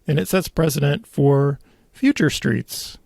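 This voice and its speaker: A man's voice: a little high, unhurried, creaky, a little mellow, no echo, clean studio sound. A man hosting a local politics show, delivering indignant, opinionated commentary with emphatic repetition. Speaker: podcast host.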